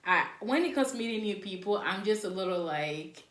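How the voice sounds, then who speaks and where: A woman's voice: medium pitch, lively intonation, fairly quick. Hesitant young woman, echoey room. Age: 20s. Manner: soft-spoken, hesitant, many fillers. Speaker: podcast co-host. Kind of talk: casual chat.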